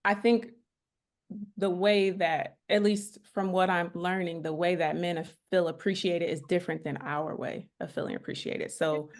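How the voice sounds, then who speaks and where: A woman's voice: on the low side, flat delivery, moderate pace. A woman in a casual chat, playful and teasing, often restarting her sentences as she thinks.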